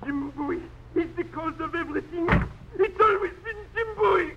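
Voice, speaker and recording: A high male voice on a muffled old radio recording. a bitter, drunken gambler, ruined and self-pitying, in overwrought 1950s radio drama acting. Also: moderate pace. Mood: desperate. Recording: muffled old radio broadcast, faint hiss.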